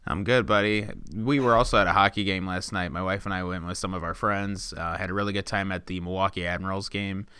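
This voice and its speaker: A man's voice: deep voice, steady tone, fairly quick, hardly any pauses, bright, forceful tone, smooth. A young man co-hosting a podcast, talking steadily and at length, with filler words along the way. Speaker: podcast co-host. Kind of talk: casual chat.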